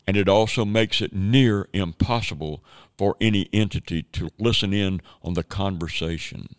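A man narrating an audio drama in a measured storytelling way. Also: lowish voice, dry recording, studio quality. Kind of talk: scripted narration.

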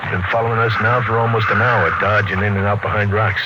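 A man playing a tough, slangy military sidekick in an old-time radio drama, gruff and wisecracking. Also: lowish voice, level tone, quick pace, lo-fi sound, lightly acted. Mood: wary, hushed. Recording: noisy vintage radio recording.

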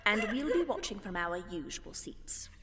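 A woman's voice: medium pitch, very animated, normal speed, fairly bright tone, in a large hall. A woman playing a genteel, haughty lady ghost in an audio drama, speaking in a dry, stately, patronizing way. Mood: dryly gracious.

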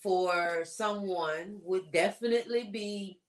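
A woman in her forties co-hosting a casual relationship talk show, explaining her points slowly and laughing often. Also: medium pitch. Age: 40s. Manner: slow, deliberate, laughing storyteller. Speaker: podcast co-host.

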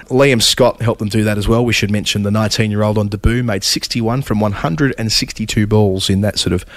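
A man, hoarse and raspy from a cold, hosting a casual cricket chat full of scores and restarts. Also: on the low side, steady tone, a little fast, dry recording. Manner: stat-heavy, with frequent fillers and restarts. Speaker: cricket podcast host.

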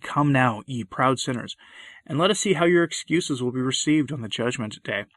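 A man's voice: mid-range voice, expressive, normal speed, a bit bright, no room sound, clean studio sound. A man reading a sermon aloud in a solemn, impassioned, old-fashioned declamatory style. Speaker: preacher.

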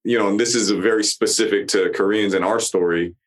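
A man in his forties co-hosting a podcast, talking bluntly and with a lot of emphasis. He swears heavily and speaks in a fairly low voice. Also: steady tone, fast talker. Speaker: podcast co-host. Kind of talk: casual chat about a TV show.

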